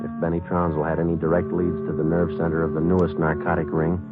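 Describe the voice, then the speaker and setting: Man playing a very deep, deadpan radio police sergeant. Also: fast, over loud music, lightly acted. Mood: matter-of-fact. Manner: clipped, deadpan, matter-of-fact. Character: terse, businesslike male police sergeant narrating his case. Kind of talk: 1940s radio drama acting and narration. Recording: muffled vintage 1940s radio recording.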